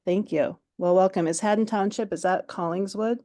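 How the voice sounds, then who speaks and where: A woman's voice: mid-range voice, steady tone, normal speed, warm tone. A woman in her forties from Minnesota, a French teacher giving an online workshop presentation in a friendly, chatty way with many ums. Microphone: video call.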